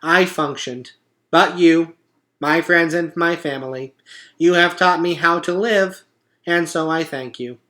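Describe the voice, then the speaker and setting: A perky storybook robot lady, played by a male reader, polite and cheerful. The voice sits high and rings out brightly, delivering formal announcements in a friendly, orderly way. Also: unhurried. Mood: grateful, festive. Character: cheerful, polite female robot addressing her animal friends.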